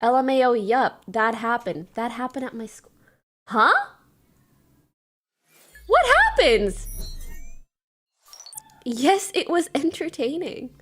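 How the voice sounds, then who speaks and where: A young woman from Canada speaks in a loose, casual streaming chat, with a slight francophone Canadian accent. Her voice sits a little high and jumps around a lot in pitch. She leans on fillers and breaks into laughs. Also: big pitch swings, slow, pauses often, fairly bright tone, soft background music. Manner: chatty, laughs often, many fillers like "like". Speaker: streamer reacting to videos. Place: home streaming room.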